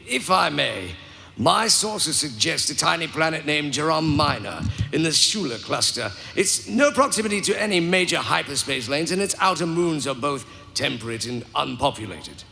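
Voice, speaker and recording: An actor playing a formal, fawning alien rebel conspirator in a live audio drama, pompous and precise. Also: male voice, on the high side, lively intonation, moderate pace, vocal fry, bright, forceful tone, heavily acted. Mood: measured, precise. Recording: live stage sound with background noise.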